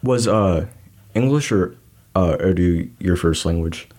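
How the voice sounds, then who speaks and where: Deep-voiced young host, rambling. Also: male voice, a little slow, darkish tone. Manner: rambling, full of fillers, self-deprecating. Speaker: podcast host. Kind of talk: interview.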